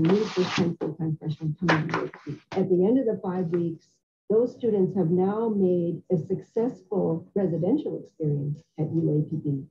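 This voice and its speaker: An older woman with a slightly low voice explains the school's student programs in an earnest, informative way. Her voice sounds a touch dull in the recording. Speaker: student success administrator. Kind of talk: documentary interview.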